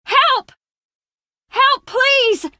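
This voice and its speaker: A woman's voice: very high voice, extremely slow, background noise, full character voice. An actress playing an earnest, troubled young woman in a video game, speaking with urgent, pleading sincerity. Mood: desperate. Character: earnest, troubled young woman confiding dark family secrets. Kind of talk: scripted game voice acting.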